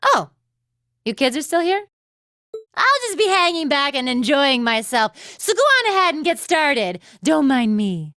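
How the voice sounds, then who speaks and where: Easygoing teasing teacher lady from a video game, high voice, really swingy and drawly, dry studio sound. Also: big pitch swings, a little slow, bright, forceful tone, no echo, full character voice. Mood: carefree, relaxed. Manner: breezy, nonchalant, drawling. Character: laid-back, teasing female instructor enjoying a beer. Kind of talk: English video game voice acting.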